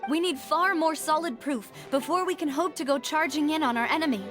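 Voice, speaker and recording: A woman playing a poised, determined young lady investigator in a video game, composed and expressive. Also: sits high, fairly quick, faint music behind. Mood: grave.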